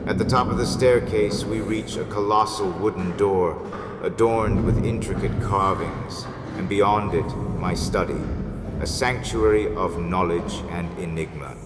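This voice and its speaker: A man's voice: sits low, a little slow. A man playing a courtly, mysterious host of a haunted mansion, telling a gothic audio drama in formal, ornate, dramatic phrases. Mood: mysterious, inviting. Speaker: host and storyteller. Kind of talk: theatrical gothic storytelling, scripted. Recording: echoey hall reverb with background noise.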